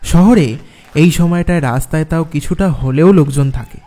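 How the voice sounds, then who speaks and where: A man's voice: on the high side, normal speed, mellow, natural voice. An actor playing a skeptical young office man in a dramatised horror story. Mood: narrating, uneasy. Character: young office man recounting his eerie night, dismissive, skeptical. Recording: music under the voice. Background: background music.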